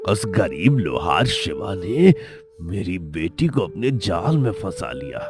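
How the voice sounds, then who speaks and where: A man's voice: deep voice, sing-song, takes it slowly, music in the background, heavily acted. A man voicing an arrogant, angry rich village father in a Hindi cartoon story. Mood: angry, scornful.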